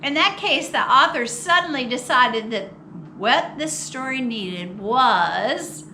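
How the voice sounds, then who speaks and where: A woman reading a children's picture book aloud as a storytime host, expressive and theatrical with drawn-out words. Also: sits high, slight background noise. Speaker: storytime host at a public library.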